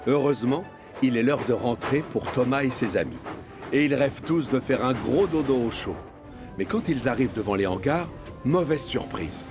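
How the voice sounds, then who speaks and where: A man narrates a children's cartoon tale in a mid-pitched voice. He reads as a storyteller, with music clearly playing behind him. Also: expressive, normal speed.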